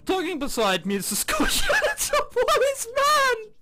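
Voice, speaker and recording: Giggly, excitable man with high swooping voice. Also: normal speed. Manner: giggly, excitable, often breaking into laughter while reading. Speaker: video game commentator. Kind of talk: let's play, reading visual novel aloud with reactions. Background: game background music.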